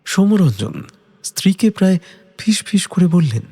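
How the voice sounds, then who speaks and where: A man reads a detective story in a dramatic, scripted style. His voice is somewhat high and mellow, with very lively pitch movement. Soft music runs behind it. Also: moderate pace, breathy edge. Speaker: narrator.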